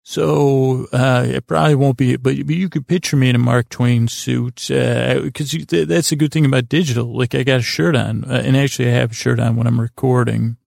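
A man hosting a bedtime podcast, rambling in a halting, meandering, self-deprecating and mildly silly way with a gravelly, creaky voice. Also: mid-range voice, fairly even intonation, darkish tone, no room sound, studio quality. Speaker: podcast host. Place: small closet.

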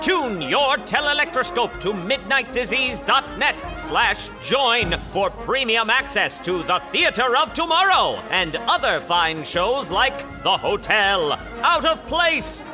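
An actor playing an exclamatory retro-futurist pitchman selling in the style of 1930s radio advertising. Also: sing-song, slow, music in the background, exaggerated voice. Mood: excited hawking. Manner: booming, exclamatory, showy.

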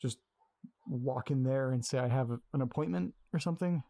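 Awkward nervous young man, hesitant and rambling, mellow voice, played by a man. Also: mid-range voice, a little fast, creaky, dry recording, close to an everyday voice. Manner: hesitant, nervous, repeats himself. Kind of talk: audio drama acting.

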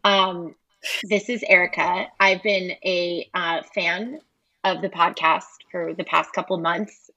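A woman's voice: on the low side, steady tone, slow, vocal fry, bright, forceful tone, dry recording, dull audio. An American woman filmmaker, a podcast guest, talking in a casual, playful way with many fillers. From United States. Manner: chatty, playful, many ums. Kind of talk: casual chat.

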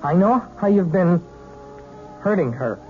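A man's voice: high voice, lively intonation, unhurried, soft background music. An actor playing Ronnie, an earnest, nervous but defiant young man in a 1970s radio drama. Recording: dull vintage radio broadcast audio.

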